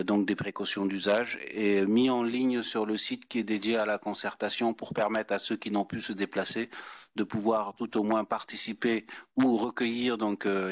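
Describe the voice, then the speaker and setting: A man chairing a public meeting, speaking formally in wordy, halting phrases. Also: lowish voice, no room sound. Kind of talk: formal meeting address, semi-improvised.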